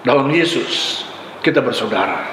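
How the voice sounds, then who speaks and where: A man's voice: mid-range voice, expressive, normal speed, a bit bright. A male pastor from Ambon, Indonesia, preaching a sermon in short, emphatic, exhorting phrases with repetition. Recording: echoey hall with long reverb, faint noise.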